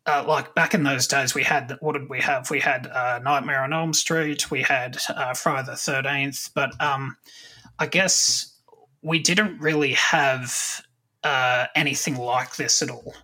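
Bright-voiced man in his thirties, podcast chat. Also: mid-range voice, steady tone, measured pace. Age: late 30s. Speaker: podcast host. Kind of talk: casual conversation about films and comics.

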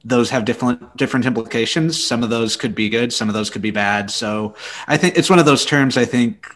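A man's voice: mid-range voice, a little fast, a bit bright. A man co-hosting a podcast, giving his view conversationally in a casual panel discussion. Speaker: library podcast co-host.

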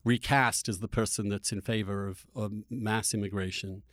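A man's voice: fairly low pitch, steady tone, normal speed, no room sound, studio quality. A man hosting a political discussion podcast, speaking emphatically and rhetorically, repeating phrases for stress. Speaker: podcast host.

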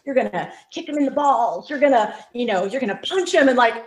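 A woman guest in an interview, telling lively personal stories with frequent restarts. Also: fairly high pitch, a little fast. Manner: animated storyteller, frequent restarts. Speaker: podcast guest. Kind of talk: conversational interview.

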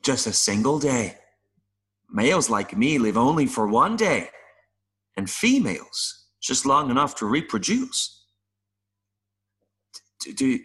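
A man playing an adult male mayfly in an audio play, nervous and earnest, pleading haltingly with little buzzing sounds. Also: mid-range voice, a bit bright. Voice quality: buzzing interjections between phrases.

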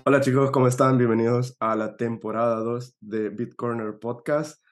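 A man from El Salvador hosting a casual podcast interview, his voice hoarse from allergies, chatty and quick to laugh. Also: mid-range voice, steady tone, over music. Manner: friendly, laughs often, chatty. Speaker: podcast host. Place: home in Europe.

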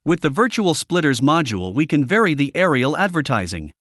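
A man's voice: fairly high pitch, very animated, fairly quick, fairly bright tone. A man answering as an interview guest, explaining in formal, prepared-sounding sentences. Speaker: interview guest, media analytics company representative.

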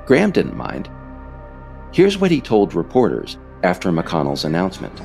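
A man narrates a scripted political account in a very low voice with a creaky edge. His delivery is deliberate and lively in intonation, pausing for emphasis, over a faint bed of music. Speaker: author and podcast narrator.

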